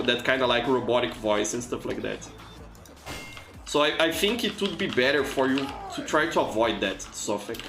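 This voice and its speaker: A man with a Brazilian accent chats with his live-stream audience in a chatty, encouraging way, often repeating words as he thinks. His middle-pitched voice carries a roomy echo. Steady game music plays clearly behind him. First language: Portuguese. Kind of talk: casual live-stream chat with viewers.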